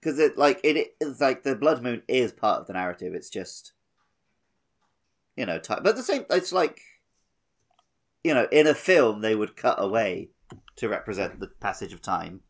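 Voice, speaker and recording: A man's voice: mid-range voice, big pitch swings, normal speed, long pauses. A man co-hosting a gaming podcast, thinking aloud in a colloquial, analytical way. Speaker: podcast co-host. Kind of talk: casual gaming podcast chat.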